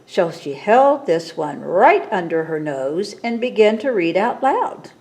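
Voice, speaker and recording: A woman reading a picture book aloud to children as a storytime reader, in a dramatic, expressive manner. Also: medium pitch, unhurried.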